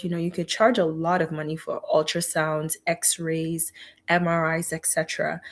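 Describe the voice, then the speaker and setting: Middle-aged woman, physician guest, earnest and faith-filled storytelling, low-pitched. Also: a bit bright. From Cameroon. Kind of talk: personal story in an interview.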